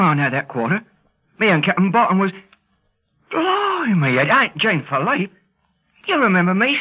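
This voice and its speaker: A man's voice: high-pitched, breathy edge, full character voice. A man playing a plucky, cheeky Cockney in a radio serial, chirpy and full of slangy exclamations, unimpressed by threats. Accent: English Cockney. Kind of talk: vintage radio serial acting. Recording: dull, band-limited old radio recording.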